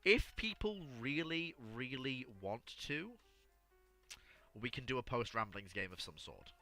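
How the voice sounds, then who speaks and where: Bright, forceful man, stammering. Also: mid-range voice, animated, unhurried, faint music behind. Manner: stammering, repeats words as he thinks. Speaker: livestream host answering listener questions. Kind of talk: casual alternate-history discussion.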